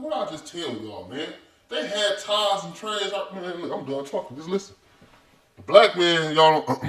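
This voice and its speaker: A man's voice: medium pitch, recorded from a distance. A man from the United States hosting a video, reacting to a lecture in heated, rambling, slangy talk. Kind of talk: casual commentary. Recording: roomy, away from the mic.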